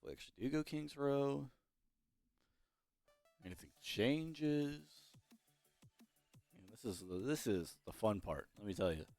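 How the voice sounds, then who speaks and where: A young man casting an esports match alone, chatty and rambling, with his voice going hoarse. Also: medium pitch, lively intonation, unhurried, long pauses, a little mellow, dry recording, soft background music. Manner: rambling, self-correcting, chatty. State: losing his voice. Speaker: esports caster. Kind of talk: solo play-by-play commentary.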